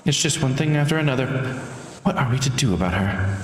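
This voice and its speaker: Man voicing a shrewd, lively-pitched investigator. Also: fairly quick, lightly acted. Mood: pondering. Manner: probing, insistent, composed. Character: shrewd, self-assured investigator questioning a secretive immortal. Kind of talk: visual novel character read-aloud.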